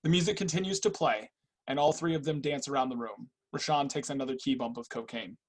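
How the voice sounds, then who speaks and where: A man with a husky voice reading stage directions aloud as scripted narration in a play reading. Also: mid-range voice, brisk.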